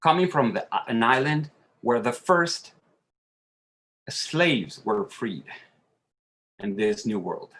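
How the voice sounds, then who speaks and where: Slow-speaking man. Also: medium pitch, big pitch swings, a bit bright. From Dominican Republic. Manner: halting, searching for words. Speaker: panelist. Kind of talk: earnest roundtable statement.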